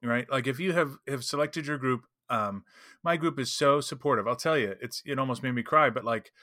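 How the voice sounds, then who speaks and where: A man's voice: medium pitch, fairly quick, sharp tone, no room sound, studio quality. A man co-hosting a podcast, chatting casually and conversationally with his partner. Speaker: podcast co-host. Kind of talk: casual podcast chat about role-playing games.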